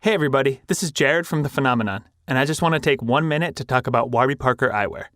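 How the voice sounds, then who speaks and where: A man's voice: mid-range voice, rapid-fire, fairly bright tone, no echo, clean studio sound. A man reading a scripted podcast sponsor message, addressing listeners directly in a friendly style.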